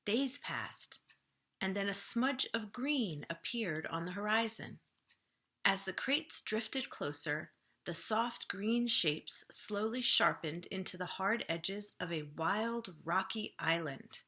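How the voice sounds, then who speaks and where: A woman reading a storybook aloud for children, with expressive, dramatic phrasing that often drops softly at the ends of phrases. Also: medium pitch, slow pace.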